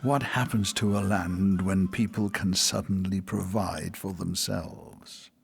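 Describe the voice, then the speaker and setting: A man's voice: low-pitched, steady tone, slow pace, vocal fry, soft background music, exaggerated voice. An actor playing a shrewd, deferential royal court advisor in an audio drama, formal and wry in his delivery. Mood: musing.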